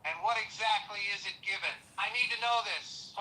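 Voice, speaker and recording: A man's voice: sits high, fairly quick, a crowd in the background, close to an everyday voice, poor audio. A man voicing a folksy cowboy chatbot who drawls, calls everyone partner and keeps asking baffled questions.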